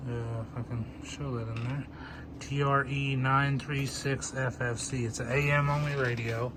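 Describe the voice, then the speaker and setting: Man in casual demo, clearly noisy recording. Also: mid-range voice, level tone, normal speed. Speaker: seller demonstrating used radio gear. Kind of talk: casual product demonstration.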